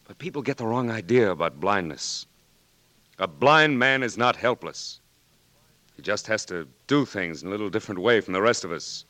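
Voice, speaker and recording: A steady, kindly doctor, speaking in a very low but bright voice, measured and reassuring. The old broadcast is dry and close. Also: male voice, dry recording. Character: calm, patient army eye doctor, firm but kind. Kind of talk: 1940s radio drama acting.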